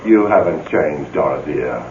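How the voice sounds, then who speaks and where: An actor playing an ardent older gentleman ghost in a radio drama, insistently coaxing and wooing his love. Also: rumbling low, expressive, unhurried. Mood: tender, coaxing. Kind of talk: old-time radio drama acting. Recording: phone-like old radio broadcast, faint noise.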